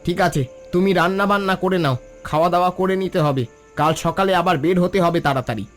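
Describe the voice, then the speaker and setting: A poor but proud fisherman played by a man in a cartoon drama. He speaks high for a man, earnest and pleading over soft music. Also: a little fast, close to an everyday voice. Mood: weary resolve. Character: poor, proud, long-suffering fisherman, a father. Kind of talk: scripted cartoon dialogue.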